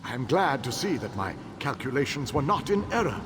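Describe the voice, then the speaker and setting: An actor playing a proud ancient elven king and calculating tactician, speaking in a measured, formal, commanding way in a video game. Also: medium pitch, big pitch swings, background noise, close to an everyday voice. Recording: long hall reverb with loud ambience.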